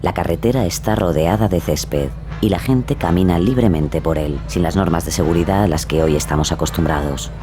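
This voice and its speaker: A man reading suspenseful documentary narration, deliberate and dramatic. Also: low voice. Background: music.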